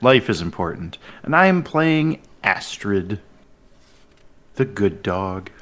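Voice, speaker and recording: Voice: sits low, big pitch swings, takes it slowly, fairly bright tone. A goofy, playful tabletop game player chatting casually. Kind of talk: casual chat.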